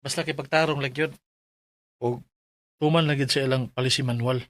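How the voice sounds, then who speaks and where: A man's voice: medium pitch, fairly even intonation, fairly quick, dry recording, lightly acted. An actor playing a friendly, chummy male coworker who gives advice in a Filipino radio drama, with a Cebuano accent. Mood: advising. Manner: chummy, colloquial. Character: friendly, advising male office buddy.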